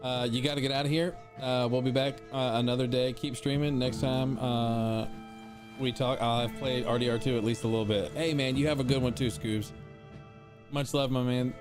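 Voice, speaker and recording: A man's voice: mid-range voice, fairly even intonation, normal speed, over music. A forty-year-old man chatting casually with viewers while streaming a game, rambling and repeating himself. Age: 40s. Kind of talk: casual live-stream chat over gameplay.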